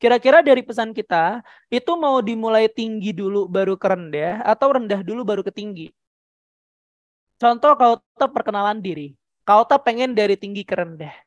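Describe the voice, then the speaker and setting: A woman's voice: medium pitch, expressive, moderate pace, dry recording, highs cut off. A woman from Jakarta teaching public speaking in an interactive online lesson, instructive and emphatic, stressing key words. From Jakarta, Indonesia.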